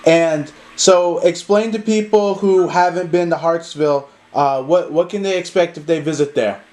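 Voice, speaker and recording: A man hosting an interview, asking his guest questions in a polite, measured way. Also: fairly high pitch, darkish tone. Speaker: interview host.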